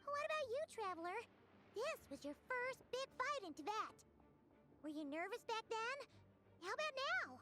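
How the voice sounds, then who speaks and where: A woman voicing a tiny, excitable floating fairy girl in a video game, with a squeaky childlike voice. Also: normal speed. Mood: curious, chatty. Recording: game audio under loud music.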